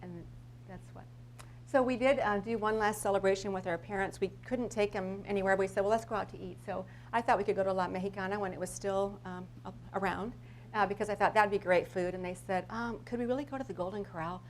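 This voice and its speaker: A woman's voice: mid-range voice, fairly even intonation, a little fast. An American woman giving an informal live presentation as a school principal, telling stories in a conversational, rambling way and often rephrasing mid-sentence. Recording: live talk with background noise and hum.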